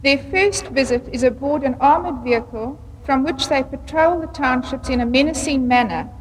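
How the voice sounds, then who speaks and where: A woman's voice: high voice, normal speed, rough recording. A woman delivering a formal prepared speech.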